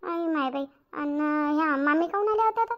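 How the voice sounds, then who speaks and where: A woman's voice: very high-pitched, lively intonation, a little fast, dull audio. A woman voicing an outspoken, grumbling young daughter-in-law in a Marathi cartoon, with a rural Varhadi accent. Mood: questioning. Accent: rural Maharashtra, Varhadi Marathi. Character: indignant young village daughter-in-law, outspoken, complaining, caring. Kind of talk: domestic cartoon story dialogue.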